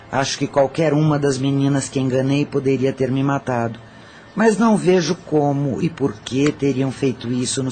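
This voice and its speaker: A woman's voice: low-pitched, darkish tone. An actress voicing a tormented female spirit, a former brothel madam confessing matter-of-factly with cold regret, in a Brazilian accent. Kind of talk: Portuguese-language audio drama acting.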